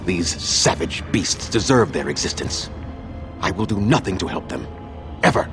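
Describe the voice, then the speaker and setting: An actor playing an ancient, unforgiving elven mage in a video game, speaking in a stern, formal and scornful manner. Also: male voice, low-pitched, expressive, normal speed, over music. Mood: bitter, scornful.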